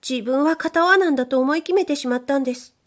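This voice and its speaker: A woman voicing a shy young maidservant who speaks in a soft, bashful whisper. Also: high voice, fast talker, pitched above the performer's own voice, lightly acted.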